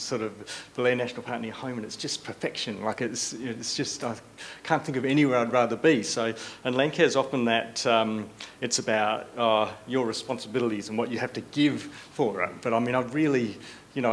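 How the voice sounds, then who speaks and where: A man's voice: mid-range voice, a little hiss or hum. A man at a workshop shares a personal reflection, hesitating and searching for words as he goes. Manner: hesitant, searching for words, many fillers and restarts. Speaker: workshop participant. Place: conference hall.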